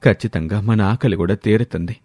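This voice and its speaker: A man playing a poor, hardworking husband, speaking earnestly and gently in a dramatized story. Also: fairly low pitch, a little fast, no echo.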